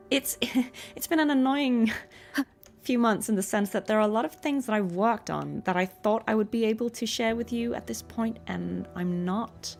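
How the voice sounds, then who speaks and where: A woman's voice: mid-range voice, vocal fry. A woman chatting casually and affectionately to viewers on a livestream from home, giggly and chatty. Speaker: streamer and voice actress.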